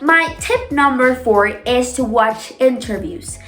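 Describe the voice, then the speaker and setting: A young woman whose native language is Spanish, talking casually as a vlogger giving tips, stressing points with drawn-out words and repetition. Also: a little high, expressive, a bit bright, soft background music. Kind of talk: casual talk to camera. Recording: echoey room.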